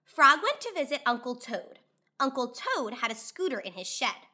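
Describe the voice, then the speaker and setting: A woman in her thirties hosting a children's read-aloud, narrating expressively with clear, deliberate delivery. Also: high-pitched, big pitch swings, bright, forceful tone.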